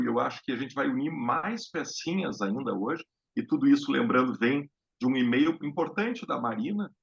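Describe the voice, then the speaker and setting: A man's voice: mid-range voice, gravelly, fairly bright tone. A man from Brazil hosting a casual live-stream talk on music therapy, speaking hesitantly with many fillers and restarts. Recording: dull lo-fi live-stream audio.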